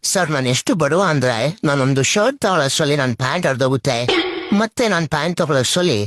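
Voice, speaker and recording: A man's voice: on the high side, fast, a bit bright, dry recording, clean studio sound, lightly acted. A man voicing brash, exasperated young men in cartoon comedy skits. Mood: joking. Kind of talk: animated comedy skit dialogue.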